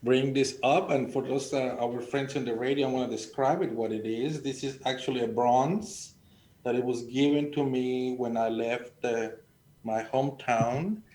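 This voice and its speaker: A middle-aged man from Mexico with a Mexican accent, speaking earnestly and haltingly as he tells personal stories as a panel guest. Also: fairly even intonation, darkish tone. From Sonora, Mexico. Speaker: panel guest, agricultural extension educator. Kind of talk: conversational personal storytelling. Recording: echoey room, faint noise and rumble.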